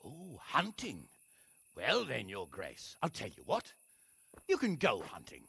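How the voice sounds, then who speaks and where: An actor playing a stern, exasperated middle-aged lord in a video game, commanding, sarcastic and rough-voiced. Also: male voice, mid-range voice, huge pitch swings, unhurried, bright, forceful tone, no room sound, exaggerated voice. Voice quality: gravelly, rough. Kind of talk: dramatic video game acting.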